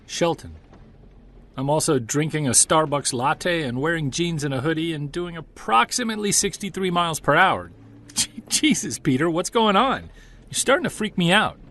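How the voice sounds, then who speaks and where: A man's voice: on the high side, animated, moderate pace, fairly bright tone. An actor playing a stubborn, driven Bigfoot hunter talking on the phone while he drives. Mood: joking. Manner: defensive, restless. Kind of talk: audio drama acting. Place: driving a car.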